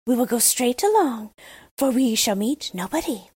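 A woman's voice: a little high, expressive, normal speed, darkish tone, husky, voice pushed up. A woman voicing a gracious, formal tame female crow in a storybook. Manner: formal, polite.

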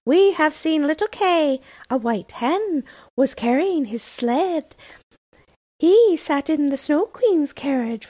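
A woman voicing small wood pigeons in a storybook reading, cooing in a very high, sing-song voice. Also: darkish tone, no room sound.

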